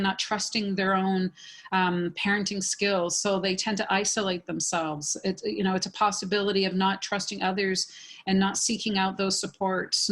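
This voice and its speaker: A woman's voice: medium pitch, fairly bright tone. Woman, professor on a panel, earnest, explanatory, repeats herself for emphasis. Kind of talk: panel discussion.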